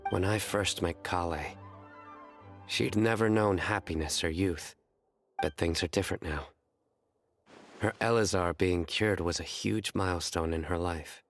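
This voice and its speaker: A low, deadpan stern young man. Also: measured pace, pauses often. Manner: deadpan and terse. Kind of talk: video game voice acting. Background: game music.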